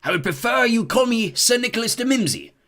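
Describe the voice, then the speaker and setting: A man's voice: high voice, big pitch swings, fairly quick, a bit bright, husky, pitched above the performer's own voice, exaggerated voice. A man voicing a centuries-old male ghost who is genteel, stiff and easily miffed. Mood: wistful.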